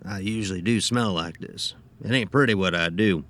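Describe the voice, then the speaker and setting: An actor playing a short, stooped, greying taxidermist in a horror audio drama, gruff, blunt and folksy in clipped, plain phrases. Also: male voice, deep voice, normal speed, a bit bright.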